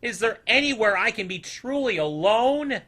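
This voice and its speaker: A man's voice: high voice, expressive, moderate pace, bright, forceful tone, voice pushed up, exaggerated voice. A man voicing a small, young, naive storybook creature, earnest and easily wounded, with a childlike manner. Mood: dejected.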